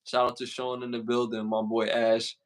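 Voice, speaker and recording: A man's voice: mid-range voice, completely flat delivery, moderate pace. A man with a Brooklyn accent co-hosting a casual podcast, slangy and repeating himself as he reads out list questions. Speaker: podcast co-host.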